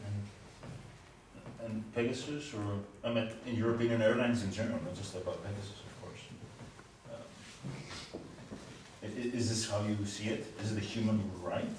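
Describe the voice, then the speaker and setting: A man's voice: fairly low pitch, steady tone, normal speed, long pauses. A man moderating a conference panel, asking questions with hesitant fillers. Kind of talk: panel discussion. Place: large conference hall. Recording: echoey hall, distant mic, ambient noise.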